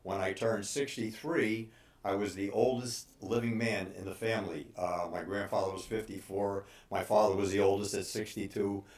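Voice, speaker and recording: A man in his sixties giving informal advice as a personal trainer, speaking haltingly with frequent pauses. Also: on the low side, steady tone, darkish tone. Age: 60s. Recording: roomy, echoing room sound.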